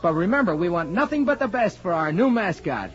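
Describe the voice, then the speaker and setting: A man's voice: sits high, sing-song, a little fast, a bit bright. A man acting an earnest, clean-cut young American college athlete in a 1940s radio drama, kind and eager. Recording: muffled vintage 1940s radio broadcast.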